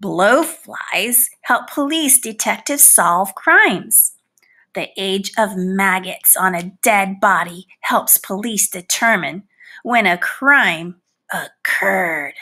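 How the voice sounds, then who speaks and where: Storybook housefly, acted by a woman: indignant, easily hurt, boastful, theatrical; slow, forceful bright delivery. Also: mid-range voice, voice pushed down, full character voice. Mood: proud, explaining. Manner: theatrical, pleading, mock-wounded and boastful. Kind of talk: storybook read-aloud in character.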